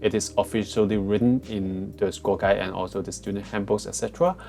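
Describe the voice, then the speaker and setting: A man with a Hong Kong English accent talks through exam advice in a relaxed, meandering way, pausing on fillers and restarting his sentences. His voice sits fairly low, recorded on a cheap headset mic. Faint music plays underneath. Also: gravelly. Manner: frequent fillers and restarts, plain phrasing. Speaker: test prep tutor. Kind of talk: casual explainer walkthrough.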